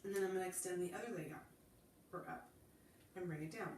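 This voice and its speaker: A woman with a stuffy head from a cold, calmly leading a Pilates class and cueing each movement while she demonstrates. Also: on the low side, fast, reverberant, far from the mic, a little hiss or hum. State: congested from a cold. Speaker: Pilates instructor. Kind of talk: guided exercise class instruction.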